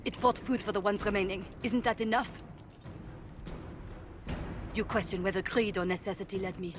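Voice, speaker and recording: A woman plays a proud town mistress on trial in a fantasy game. Her mid-pitched voice pleads with pointed dignity over prominent music. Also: moderate pace, close to an everyday voice. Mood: defiant. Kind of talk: video game dramatic acting.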